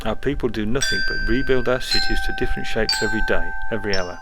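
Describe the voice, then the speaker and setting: A man's voice: on the low side, fast talker, very noisy, close to an everyday voice. A man voicing an old, ailing but commanding Chinese emperor in a storybook reading, solemn and formal, with a weak voice. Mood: weary, pleading. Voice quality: weak, faint voice. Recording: music behind the voice.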